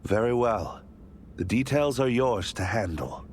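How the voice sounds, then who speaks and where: An actor playing a stern, ambitious warlord in a video game, grave and commanding in formal speech. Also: male voice, medium pitch, lively intonation, unhurried, darkish tone, a little hiss or hum, lightly acted. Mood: grave, resolute. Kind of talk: dramatic video game cutscene acting.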